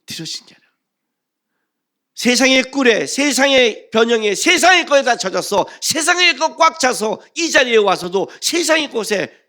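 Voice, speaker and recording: A man preaching a sermon as a pastor, reading scripture and questioning the congregation, swinging between loud emphasis and hushed phrases. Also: very high-pitched, very animated, moderate pace.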